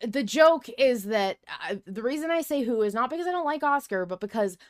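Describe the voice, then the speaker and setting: A woman's voice: fairly high pitch, animated, a little fast, no room sound, clean studio sound. A woman podcast host talking in casual, frank and playful conversation.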